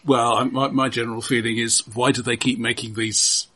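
A man co-hosting a film podcast, talking casually and haltingly as he runs down lists. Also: medium pitch, level tone, sharp tone, husky. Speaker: podcast co-host. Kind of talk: casual film chat, running down a list.

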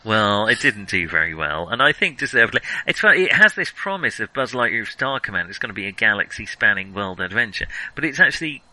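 A man's voice: fairly low pitch, sing-song, quick pace, few pauses, pushed and bright, poor audio. A man co-hosting a casual film discussion, talking in a hesitant, chuckly, conversational way. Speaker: podcast co-host.

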